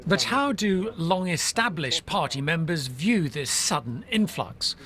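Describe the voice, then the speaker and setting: A man's voice: a little high, animated, unhurried, crowd noise. A man working as a political correspondent, narrating a scripted news report.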